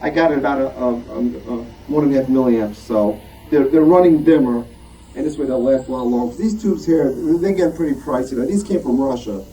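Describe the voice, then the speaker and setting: A man's voice: mid-range voice, a little fast, warm tone. Distant, casual man giving a club talk. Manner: rambling, self-deprecating, conversational. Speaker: club presenter and moderator at show and tell. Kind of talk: informal show-and-tell talk to a club. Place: club meeting room. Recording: distant mic, noisy room.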